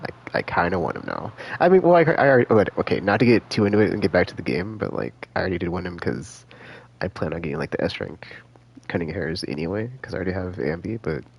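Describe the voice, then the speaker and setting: Low, gravelly man rambling on a game stream. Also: big pitch swings, fast. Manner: rambling, hesitant, slangy, thinking aloud. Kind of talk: casual live stream commentary.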